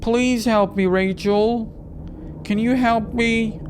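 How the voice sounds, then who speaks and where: A performer voicing a slow, frail ghostly little sister. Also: mid-range voice, steady tone, background noise, voice pushed up. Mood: urgent, fading. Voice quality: weak, frail voice. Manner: slow, drawn-out pleading. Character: ghostly young lost sister, weak, pleading, trapped in darkness.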